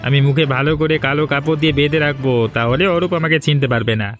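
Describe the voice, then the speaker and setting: A man's voice: on the high side, fast, fairly bright tone, close to an everyday voice. A man playing a scheming, menacing bandit and kidnapper in a Bengali cartoon. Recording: music bed under the voice. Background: background music.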